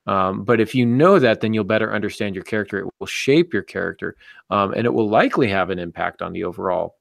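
A middle-aged man hosting a casual discussion about writing, explaining things in a measured way. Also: fairly low pitch, lively intonation, creaky, no room sound, clean studio sound. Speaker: podcast host and novelist. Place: indoor office, on a video call.

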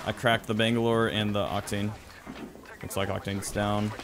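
Low-pitched man giving casual gaming commentary. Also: little pitch movement, moderate pace, heavy background noise. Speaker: video game streamer.

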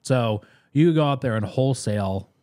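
A man's voice: medium pitch, lively intonation, fairly quick, dark tone. A man in his thirties hosting a podcast in casual conversation, speaking into a close studio microphone. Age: 30s. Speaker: podcast host. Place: small podcast studio indoors. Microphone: close studio mic on boom arm, headphones.

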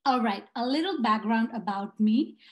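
A woman's voice: fairly high pitch, lively intonation, normal speed, no echo. A young woman from Nepal whose first language is Nepali, giving an earnest prepared talk over slides with many ums and uhs, recorded on a laptop webcam at home. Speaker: award nominee. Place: indoors at home. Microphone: laptop webcam on a video call. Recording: dull lo-fi laptop audio.

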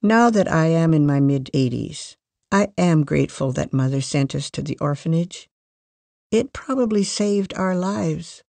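Gravelly voice, dry close recording, measured scripted reading, first-person life story. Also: mid-range voice, expressive, a little mellow, no room sound. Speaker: reader of a magazine life story.

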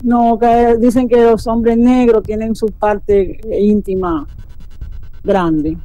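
A woman on a phone call, talking nervously and haltingly and repeating herself. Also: on the high side, steady tone, warm tone, no room sound, faint music behind. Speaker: prank call victim. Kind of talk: phone conversation. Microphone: telephone call.

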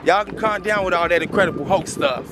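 A man's voice: high-pitched, quick pace, heavy background noise. A young man filming a casual prank vlog outdoors and in a car, teasing and laughing in slangy talk. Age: young adult. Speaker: vlogger pulling a prank. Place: outdoors in a hot parking lot and inside a car.

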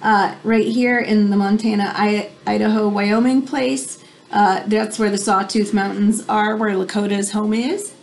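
An American woman in her thirties reading a children's nonfiction book aloud at home, pausing to explain things simply like a teacher. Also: mid-range voice, steady tone, vocal fry, a little hiss or hum. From United States. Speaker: read-aloud host for children. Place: small room at home, indoors.

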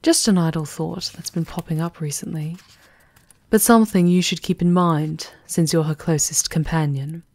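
A woman playing a shrewd, composed High Enchantress in a fantasy ASMR roleplay, with a measured, knowing, formal manner. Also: a little mellow, dry recording. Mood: measured, guarded. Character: shrewd, composed female High Enchantress advising a vampire countess.

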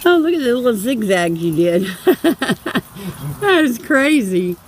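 A woman narrates her home video in an unhurried, chatty way and giggles often. Her voice rises and falls a lot as she talks. It sounds like it was recorded outdoors in the snow on a handheld phone camera. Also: fairly high pitch, sing-song, slight background noise. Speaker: vlogger filming her husband. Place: outdoors in a snowy yard.

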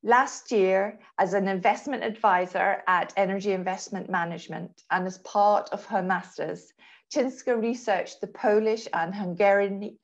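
A middle-aged woman interviewing in a measured, conversational way over a video call, with slightly dull sound. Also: medium pitch. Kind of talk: online interview. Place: small room indoors, video call.

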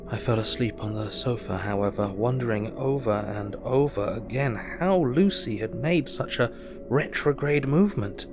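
A man plays a weary Victorian doctor reading his diary in an audio drama. His voice is lowish, with measured, formal and reflective delivery over clear music. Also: lightly acted. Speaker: actor.

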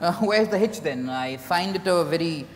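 A man with an Indian accent playing an earnest writer in love, theatrical and deliberate, in a dramatized stage reading. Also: a little high, lively intonation, a little hiss or hum, lightly acted. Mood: polite. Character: earnest, polite but cutting male writer in love. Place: on stage in a large hotel ballroom. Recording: echoey ballroom stage, distant hall sound.

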